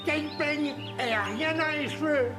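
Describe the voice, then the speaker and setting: A woman voicing an anxious, excitable little dwarf in a dubbed fairy-tale cartoon. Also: high-pitched, moderate pace, natural voice. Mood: frantic. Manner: excitable, urgent. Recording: loud music behind the voice.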